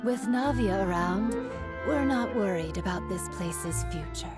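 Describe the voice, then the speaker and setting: A woman's voice: medium pitch, expressive, measured pace, natural voice. A woman playing a confident, trusting townswoman in a video game. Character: confident, trusting townswoman backing her leader. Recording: loud music behind the voice.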